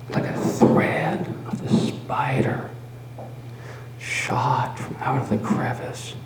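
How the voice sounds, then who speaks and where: A man voicing a mad, obsessive murderer confessing his crime in a dramatic gothic horror reading, halting and theatrical, moving between breathy whispers and loud outbursts. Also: medium pitch. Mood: stealthy, creeping. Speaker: storyteller. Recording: roomy live recording with faint noise.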